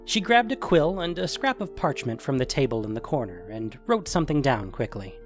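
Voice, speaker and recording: A man's voice: mid-range voice, sing-song, brisk, faint music behind. A man narrating a scripted fantasy adventure story.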